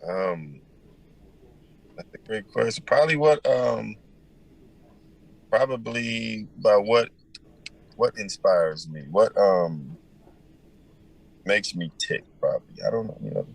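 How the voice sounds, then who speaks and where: Man with a deep, powerful low voice; reflective interview guest, unhurried, searching for words. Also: expressive, creaky, low-quality recording. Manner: thoughtful, pauses with many ums. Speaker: musician guest. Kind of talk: casual interview answers.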